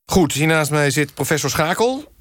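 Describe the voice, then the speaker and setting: A man's voice: on the high side, big pitch swings, moderate pace, a bit bright, no echo. A man playing an earnest, patient radio magazine presenter, delivering mock-serious news and interviews. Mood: concerned.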